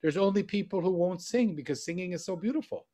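A man's voice: high-pitched, fast, dark tone. A middle-aged man hosting a show over a video call from a room with bookshelves, teasing and encouraging his guest. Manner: teasing and encouraging, gestures while speaking. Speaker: host. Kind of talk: casual video call interview. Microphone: video call webcam.

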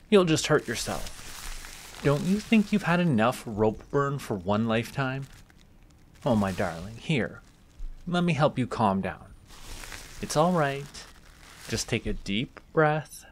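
A man voicing an immortal archfey in a soft-spoken fantasy audio roleplay, courtly, teasing and possessive, coaxing with condescending affection. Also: medium pitch. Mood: smug, possessive, then hypnotic, soothing.

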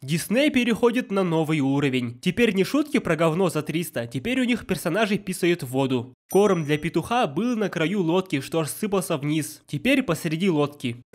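A man with a somewhat high voice narrates a nitpicking review. His delivery is scripted and sardonic, with a steady mocking edge. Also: normal speed, few pauses. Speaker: narrator of a film-nitpicking video. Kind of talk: scripted sarcastic commentary.

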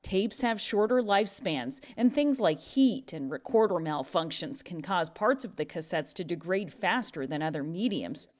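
A woman's voice: fairly low pitch, animated, moderate pace, few pauses, a little mellow. A woman with an American accent reading scripted narration as a news correspondent. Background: background music.

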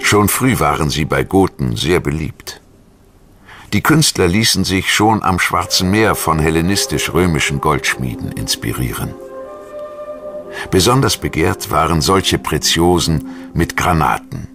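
A man reading a scripted history documentary narration in a measured, articulate storytelling manner. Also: rumbling low, very animated, fairly bright tone. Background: music.

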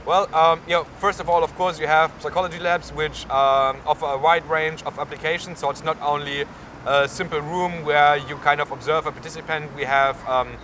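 A man's voice: on the high side, fairly even intonation, normal speed, bright, forceful tone. A young man with a German accent, a university student answering interview questions outdoors in a town square, with many fillers. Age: young adult. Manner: lots of fillers, gestures while talking. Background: faint street and traffic noise.

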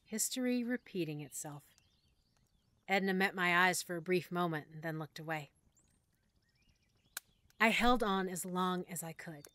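A woman narrating a first-person audiobook story in a measured, emotional way that sometimes drops to a hush. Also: fairly low pitch, no room sound. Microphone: close studio mic.

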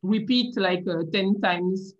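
A woman's voice: medium pitch, slow. A young woman with a French Caribbean accent, a chemist guest on a video call, speaking hesitantly with many fillers and frequent laughs. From Caribbean island. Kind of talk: casual interview. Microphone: laptop webcam on video call. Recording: dull lo-fi video call audio.

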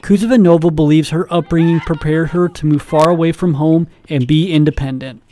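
A man reading a scripted news voice-over as a student reporter, steady and measured. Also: a little high, slight background noise.

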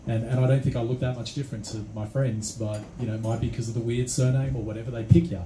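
An earnest Australian man answers at a forum, halting and full of fillers. His tone is very dark and mellow, and the venue around him is echoey with faint noise. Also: medium pitch, steady tone. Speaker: election candidate on a panel. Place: small venue stage, seated panel.

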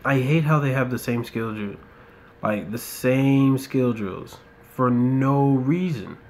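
A young man from the United States giving relaxed commentary while playing a mobile football game, muttering asides and ranting now and then. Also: medium pitch, takes it slowly. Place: indoor room.

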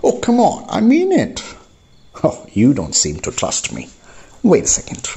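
Man acting a husky, lively old crofter. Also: fairly high pitch, a little slow, full character voice. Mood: excited, confiding. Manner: effusive, chatty, repeats himself. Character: lonely, hospitable, talkative old crofter, eager to confide. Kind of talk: amateur radio drama acting.

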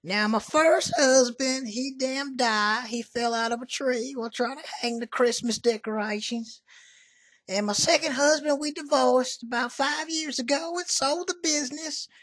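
A man's voice: very high voice, unhurried, fairly bright tone, exaggerated voice. A man doing a salty old Southern country woman. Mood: brash flirty. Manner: folksy, salty, drawling, calls people honey. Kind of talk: prank call.

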